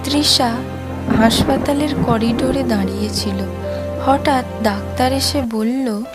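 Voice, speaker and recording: A woman's voice: fairly high pitch, slow, over loud music. A woman reading scripted narration for a cartoon story.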